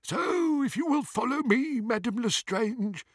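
High-pitched, fussy old goblin teller. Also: male voice, lively intonation, measured pace, no room sound, clean studio sound, full character voice. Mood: eager, dazed. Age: older. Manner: formal, deferential, fussy. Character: elderly male goblin bank teller, officious and fussy.

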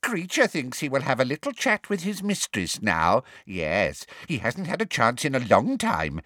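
A man's voice: mid-range voice, wildly swooping pitch, moderate pace, pushed and bright, no echo, clean studio sound, pitched above the performer's own voice, exaggerated voice. A man voicing a wheezy, gleeful old male house elf who talks about himself slyly. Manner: cackling, sly, wheezy.

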